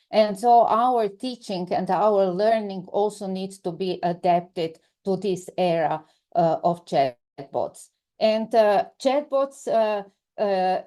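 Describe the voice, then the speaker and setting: A woman whose first language is Croatian, presenting in English with a Croatian accent, pausing often on filler sounds and restarting phrases, over a video call. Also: medium pitch, warm tone. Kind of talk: online presentation.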